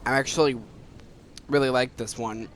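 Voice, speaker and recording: A man's voice: medium pitch, fairly even intonation, moderate pace, a bit bright, slight background noise. A man giving informal gameplay commentary, stumbling over his words now and then in a self-deprecating way. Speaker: gaming video host.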